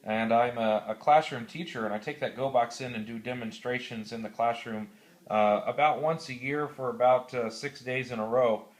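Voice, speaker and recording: An American man talking casually on amateur radio, explaining methodically and haltingly, with radio procedure words. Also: mid-range voice, fairly even intonation. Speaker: classroom teacher.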